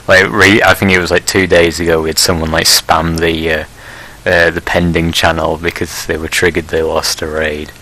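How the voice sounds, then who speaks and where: Very deep-voiced young man chatting casually. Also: level tone, moderate pace, few pauses, bright, forceful tone, rough recording. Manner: rambling, with many fillers and restarts; laughs at his own blunt jokes. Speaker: leader of a Prussian group in an online game. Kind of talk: casual podcast chat.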